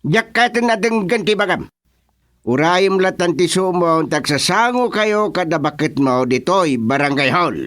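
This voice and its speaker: A man's voice: high-pitched, expressive, a little slow, a bit bright. An actor with an Ilocano accent playing an exasperated, blustery village chairman in a comedic radio drama. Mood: scolding. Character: exasperated male village chairman presiding over a hearing.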